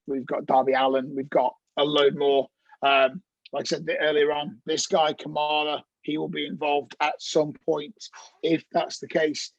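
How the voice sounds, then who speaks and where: Relaxed man on a video call. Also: on the high side, gravelly, no room sound, muffled recording. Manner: relaxed, filler-heavy, laughs easily. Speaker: guest. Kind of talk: casual video-call chat.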